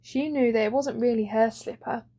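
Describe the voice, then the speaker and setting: A woman's voice: fairly high pitch, steady tone, normal speed, dark tone. A woman reading a children's story as an audiobook narrator.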